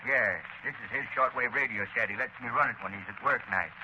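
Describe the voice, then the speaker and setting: A man's voice: mid-range voice, brisk, close to an everyday voice. Folksy, gullible older man played by an actor. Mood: amused, gullible. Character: simple, folksy older father, easily amused, gullible. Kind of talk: 1930s radio acting. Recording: noisy muffled 1930s radio broadcast.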